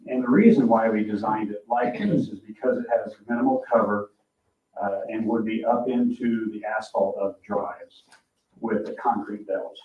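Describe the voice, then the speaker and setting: Male engineer addressing a meeting, fairly low and self-correcting, dull distant sound in a reverberant room. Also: darkish tone. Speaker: project engineer presenting to council. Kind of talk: informal explanatory presentation. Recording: echoey room, distant muffled mic.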